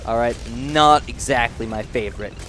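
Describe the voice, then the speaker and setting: A young man with a nasal voice giving rambling, excitable commentary while playing a video game. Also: medium pitch, normal speed, slight background noise. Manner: rambling, excitable, thinks aloud. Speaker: gaming channel host. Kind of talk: casual gameplay commentary.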